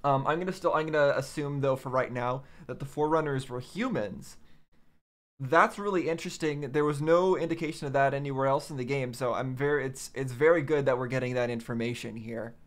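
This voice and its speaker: A man hosting a reaction video, commenting casually and hesitantly as he thinks aloud. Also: medium pitch. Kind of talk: casual commentary on game cutscenes.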